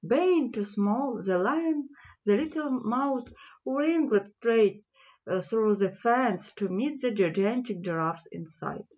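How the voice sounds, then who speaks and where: Slow-reading woman telling a children's story. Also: on the high side, lively intonation, a little mellow, muffled recording. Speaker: children's audiobook reader. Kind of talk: fairy-tale narration for children.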